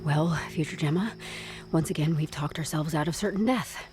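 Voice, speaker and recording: A woman's voice: deep voice, moderate pace, over music, natural voice. A woman playing a wry, flustered office employee in an audio drama, informal and sarcastic. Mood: relieved.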